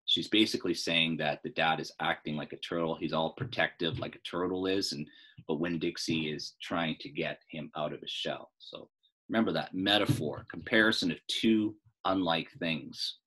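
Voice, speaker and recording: A man's voice: a bass voice, steady tone, normal speed, pushed and bright, rough recording. A middle-aged American man reading a story aloud like a teacher, expressively, with casual explanatory asides. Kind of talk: read-aloud storytelling with informal lesson asides.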